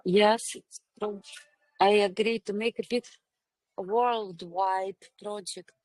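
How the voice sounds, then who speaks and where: A woman's voice: medium pitch, fairly even intonation, slow, darkish tone, dry recording. A woman interviewing on a video call, mostly giving short affirmations and speaking simple, non-native English. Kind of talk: casual conversation.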